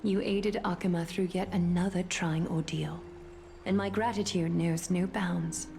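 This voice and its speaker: A woman's voice: on the low side, fairly even intonation, unhurried, dark tone, slight background noise. An actress playing a gracious, calculating female leader in a video game, with poised, formal delivery over music. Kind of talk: dramatic video game voice acting.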